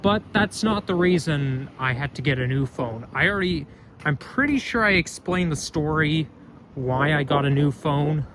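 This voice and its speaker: A man's voice: fairly high pitch, expressive, normal speed. Chatty young man walking outdoors. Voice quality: loud voice. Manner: rambling, chatty, self-correcting. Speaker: vlogger. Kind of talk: casual walking vlog narration. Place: outdoors on a street. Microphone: phone camera held while walking. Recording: outdoor street noise.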